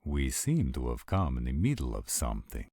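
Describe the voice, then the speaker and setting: A man's voice: a bass voice, huge pitch swings, moderate pace, mellow, no room sound, exaggerated voice. A man voicing supernatural male characters at a dinner party in a theatrical roleplay audio drama. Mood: lordly, amused.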